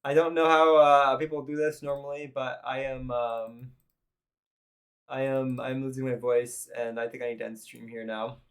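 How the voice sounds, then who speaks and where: A man's voice: medium pitch, little pitch movement, moderate pace, a bit bright. A man streaming live who is losing his voice, chatting loosely and thinking aloud with lots of restarts. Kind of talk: casual live-stream commentary.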